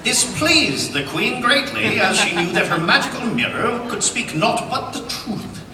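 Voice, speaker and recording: Echoey, animated man narrating to a crowd. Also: sits high, a little slow. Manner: playful, theatrical, ad-libbing. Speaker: voice actor reading as narrator at a panel. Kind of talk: live cold read of a storybook script. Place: large indoor convention panel hall. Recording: echoey convention hall from far off, noisy crowd. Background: audience and room noise.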